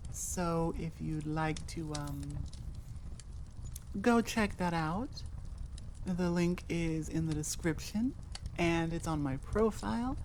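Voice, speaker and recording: Female audio creator, casual outro chat, slow and rambling, a little low in pitch, self-deprecating. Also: darkish tone, a little hiss or hum.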